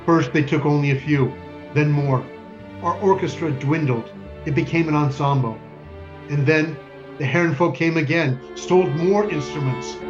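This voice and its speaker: A man acts out a bereaved wartime father on stage, solemn and deliberate, his voice a little high for a man. He phrases heavily and pauses often, tender yet stern. The recording is slightly muffled. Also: natural voice. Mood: solemn, bitter. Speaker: stage actor in community theater. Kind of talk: dramatic stage monologue, solemn narration. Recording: dull lo-fi recording, music underneath.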